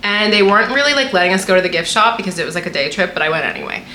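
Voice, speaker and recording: Bubbly young woman, bright voice. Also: mid-range voice, expressive, rapid-fire. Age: young adult. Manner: bubbly, chatty, many "like" fillers. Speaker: vlogger showing a travel haul. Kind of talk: casual chat to camera. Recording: roomy echo, faint room noise.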